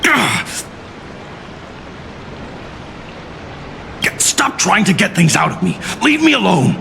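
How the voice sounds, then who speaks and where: A man's voice: high voice, very animated, normal speed, gravelly, bright, forceful tone, slight background noise, exaggerated voice. A man voicing a proud, loyal military commander in a video game, formal and haughty in the way he speaks. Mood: flustered. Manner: formal, haughty, disdainful of critics. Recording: music under the voice.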